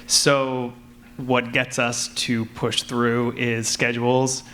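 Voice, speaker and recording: A man explaining his working habits on a panel in a measured, matter-of-fact way. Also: medium pitch, flat delivery, fairly bright tone. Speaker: panelist, audio drama writer. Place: convention panel room with background noise. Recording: noisy live convention panel room.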